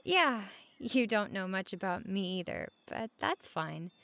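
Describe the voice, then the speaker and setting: A woman playing a friendly, reassuring roommate in a conversational comfort roleplay, speaking gently and casually at regular volume. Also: mid-range voice, big pitch swings, a little slow, creaky, no echo, heavily acted. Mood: reassuring, caring. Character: friendly, reassuring young female roommate offering company.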